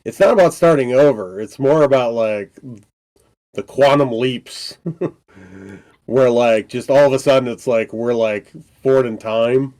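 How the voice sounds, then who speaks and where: A man's voice: medium pitch, a little slow, darkish tone. A man with a stuffy, congested voice co-hosts a casual podcast. He rambles and hesitates, trailing off and chuckling between thoughts. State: congested from a cold. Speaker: podcast co-host. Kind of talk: casual chat.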